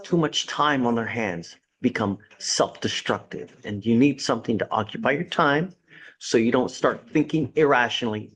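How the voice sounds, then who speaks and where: A man's voice: mid-range voice, moderate pace, a bit bright. A man serving as judge in a courtroom, lecturing a defendant from the bench with blunt sarcasm and folksy sayings.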